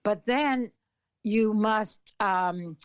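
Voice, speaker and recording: An older woman from Canada, an author and influence consultant, speaking as an interview guest in a thoughtful, filler-laden way with frequent throat clearing. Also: mid-range voice, very slow, no echo.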